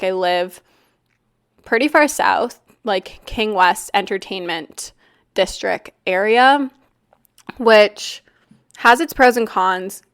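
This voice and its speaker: A Canadian woman in her twenties hosting a casual solo podcast, her voice raspy and a bit nasal from a flu cough, chatty and candid with casual swearing. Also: mid-range voice, fairly bright tone. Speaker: podcast host.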